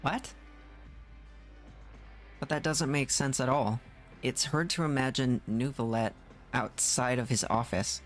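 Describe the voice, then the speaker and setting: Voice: mid-range voice, fairly even intonation, measured pace, long pauses, faint music behind. A streamer giving casual live commentary on a game, reacting and thinking aloud.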